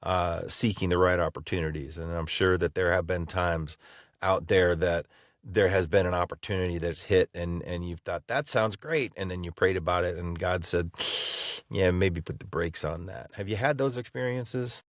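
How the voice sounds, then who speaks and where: A middle-aged man with a very low voice co-hosting a podcast. He talks in a halting, encouraging way, pausing to find his words. The sound is dry and close. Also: no echo. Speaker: podcast co-host. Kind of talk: casual podcast interview.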